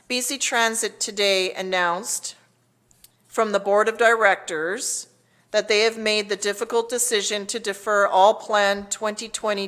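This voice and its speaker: A woman delivers a prepared civic address. Her pitch sits in the middle range for a woman. She reads in a formal, measured manner, as an official making announcements to residents. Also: fairly bright tone. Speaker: mayor giving a public address. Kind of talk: scripted public address, read aloud.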